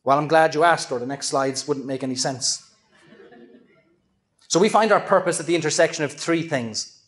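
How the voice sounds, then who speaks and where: A middle-aged man giving a conference keynote, earnest and measured, with self-deprecating asides. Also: fairly high pitch, fairly bright tone. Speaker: keynote speaker. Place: large event hall with an audience. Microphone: handheld microphone at a lectern. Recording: echoey hall.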